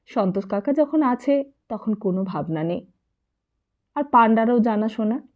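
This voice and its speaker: A woman reads a literary novel as an expressive audiobook storyteller, carrying the dialogue within her narration. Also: on the high side, moderate pace. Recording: muffled, narrow phone-like sound.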